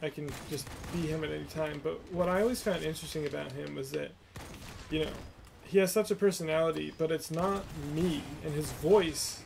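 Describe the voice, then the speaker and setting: Young man, livestream host, rambling, self-deprecating, very gravelly, noisy recording. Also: fairly high pitch, fairly even intonation, fairly quick. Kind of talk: casual chat while playing a game.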